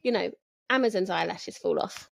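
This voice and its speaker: A woman's voice: lowish voice, normal speed, gravelly, no room sound. A woman in her forties from Southwest London with a London English accent, a guest author chatting on a podcast in a jokey, self-deprecating way. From Southwest London, England. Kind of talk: casual podcast chat.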